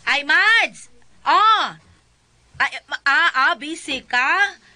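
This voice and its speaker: Vain lazy wife, very high bright voice, Cebuano Filipino accent, gushing, snappish, radio drama. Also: female voice, expressive, very slow, heavily acted. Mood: gushing, showy. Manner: gushing, rambling, full of exclamations.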